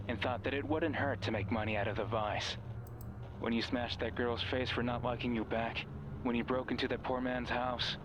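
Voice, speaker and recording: A man voicing a mysterious, manipulative horror game figure who speaks like a menacing false friend, taunting and deliberate. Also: mid-range voice, background noise, lightly acted. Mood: menacing, intimate. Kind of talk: dramatic horror game voice acting. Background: background music.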